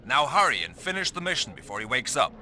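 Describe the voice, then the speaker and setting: An actor playing a brisk, businesslike spy agency handler in a video game, delivering a scripted mission briefing. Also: male voice, mid-range voice, big pitch swings, over loud music, natural voice.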